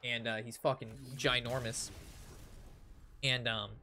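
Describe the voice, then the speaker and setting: Young male streamer, American. Sharp, forward voice, casual rambling anecdotes with exaggerated emphasis and swearing, audible noise behind. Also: medium pitch, level tone, slow pace. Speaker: live streamer and storyteller. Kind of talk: casual anecdote told to chat while gaming.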